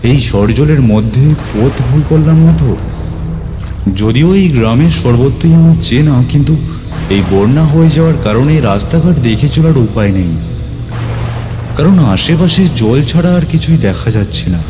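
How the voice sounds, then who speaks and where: A man's voice: medium pitch, lively intonation, low-quality recording. Man narrating suspenseful horror over prominent music. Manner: suspenseful, theatrical, with deliberate pauses and repeated words. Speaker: narrator of a ghost story. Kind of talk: dramatic first-person horror storytelling.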